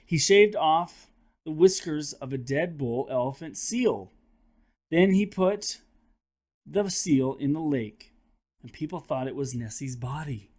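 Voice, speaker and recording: A man who teaches third grade reads a children's nonfiction book aloud in an expressive, teacherly manner, addressing his young listeners directly. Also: on the high side, measured pace.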